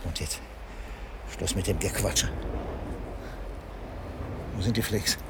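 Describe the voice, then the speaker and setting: An actor playing a hostile, cornered criminal in a German radio crime drama, coarse and accusing, with a gravelly voice. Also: male voice, low-pitched, takes it slowly, noisy background, heavily acted. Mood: bitter, hostile. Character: bitter, hostile male ex-anarchist criminal, cornered.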